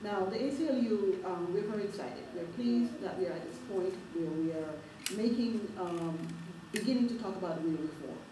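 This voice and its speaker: A middle-aged woman with a Jamaican accent, giving an earnest, halting town hall speech full of fillers and restarts. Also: medium pitch, distant mic. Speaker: civil rights advocate speaking at a town hall. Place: public meeting hall. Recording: roomy hall recorded from afar, noisy.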